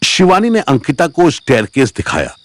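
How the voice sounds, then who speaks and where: A man's voice: fairly high pitch, wildly swooping pitch, moderate pace, no echo. A man narrating a scripted audio story in a dramatic storytelling style. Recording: music under the narration.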